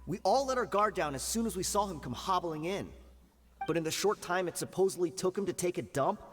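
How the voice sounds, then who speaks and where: An actor playing a cornered, shifty rank-and-file bandit in a video game, blustering and evasive. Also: male voice, high voice, animated, fairly quick, big-room sound, faint music behind. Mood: bitter.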